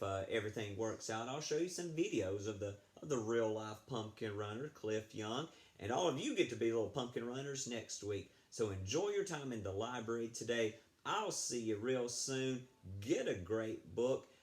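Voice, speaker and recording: A man reading a picture book aloud to children in an animated, chatty way, breaking off to ask them questions. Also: mid-range voice. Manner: animated, chatty, asks the young listeners questions. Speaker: children's read-aloud storyteller, school librarian.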